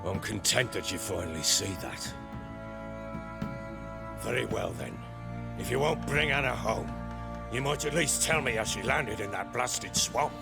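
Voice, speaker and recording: Gravelly, volatile British lord. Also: male voice, lowish voice, lively intonation, measured pace, long pauses, loud music behind the voice. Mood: weary, bitter. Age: middle-aged. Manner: blunt, coarse-mouthed, emphatic. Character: gruff, hot-tempered middle-aged lord, guilt-ridden husband and father. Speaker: game character. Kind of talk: dramatic video game voice acting.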